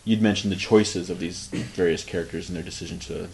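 A man's voice: low-pitched, steady tone, fairly quick, gravelly, darkish tone, poor audio. A man interviewing an author, asking long, hesitant questions that wind through his thoughts.

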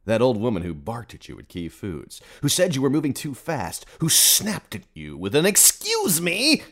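A man performing a radio play as a merciless, taunting inner narrator, speaking in literary second person with theatrical, accusing emphasis and a husky voice. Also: mid-range voice, wildly swooping pitch, normal speed, a bit bright, voice pushed up, full character voice. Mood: taunting, scornful.

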